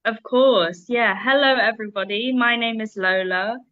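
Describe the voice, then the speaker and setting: A woman's voice: fairly high pitch, moderate pace, no echo, phone-quality sound. A young woman with a London English accent, speaking articulately as a guest English teacher in a casual interview. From London, England.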